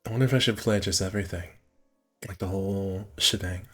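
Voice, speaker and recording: A man's voice: deep voice, a little fast, fairly bright tone, soft background music. A man casually streaming a visual novel, reading the narration aloud and correcting himself with muttered asides.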